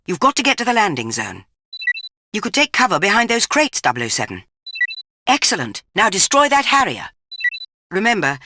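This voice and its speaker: A stern, authoritative British spy chief in a video game. The delivery is formal and commanding, with a forceful edge and animated pitch movement. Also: female voice, a little hiss or hum. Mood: urgent. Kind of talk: video game mission briefing.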